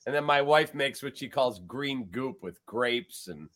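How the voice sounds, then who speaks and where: Bright, upbeat sports podcast host. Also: male voice, medium pitch, animated, unhurried.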